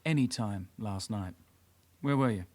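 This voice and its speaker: A man's voice: lowish voice, a little slow, a little mellow. An actor playing an insistent detective constable in a radio drama, probing and condescending as he questions a suspect.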